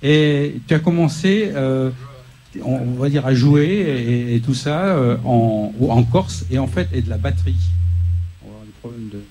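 A man's voice: medium pitch, animated, moderate pace, mellow, low-quality recording. A man hosting a live studio interview after a concert set, with many fillers and restarts. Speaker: local TV show host.

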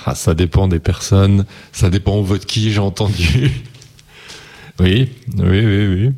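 Man, host, low pitch, moderating, transition lines. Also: measured pace, a little mellow. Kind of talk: moderating a discussion.

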